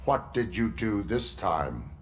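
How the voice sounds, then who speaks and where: A man playing a stoic cyborg police officer in a video game, with formal, clipped, deadpan delivery. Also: fairly low pitch, slow pace, slight background noise. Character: stoic cyborg police officer, adult male, rigid and dutiful.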